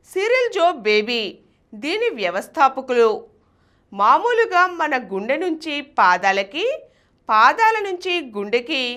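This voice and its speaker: A woman's voice: high voice, pushed and bright. A woman presenting a feature as scripted narration.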